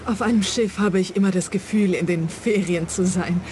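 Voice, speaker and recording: A woman's voice: lowish voice, steady tone, moderate pace, slight background noise, lightly acted. A woman playing an earnest client of a detective in a radio play. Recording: loud outdoor boat ambience behind. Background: boat deck ambience.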